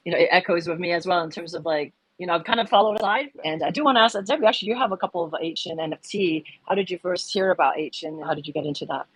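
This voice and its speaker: A woman hosting a podcast, asking loose, rambling questions in a casual, quick way. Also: fairly low pitch, breathy edge, no echo, low-quality recording. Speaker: podcast host.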